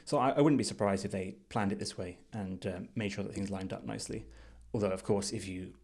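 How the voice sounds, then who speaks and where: Male reviewer, lowish voice, unscripted walkthrough delivery, measured and thoughtful, as if working it out while talking. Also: darkish tone, breathy edge. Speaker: design reviewer. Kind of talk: unscripted screen-share commentary.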